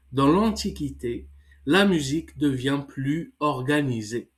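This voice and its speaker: A man with a cold, slowly reading a text for learners and then explaining exercises in English with many hesitations. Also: mid-range voice. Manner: slow, careful reading with hesitant fillers. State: has a cold. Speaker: French teacher.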